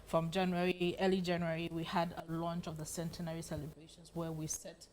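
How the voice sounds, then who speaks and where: A woman's voice: on the low side, level tone, brisk, a crowd in the background. A woman with a Ghanaian accent giving a TV interview as an event coordinator, speaking in an enthusiastic, informal way. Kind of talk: morning TV interview. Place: TV studio set.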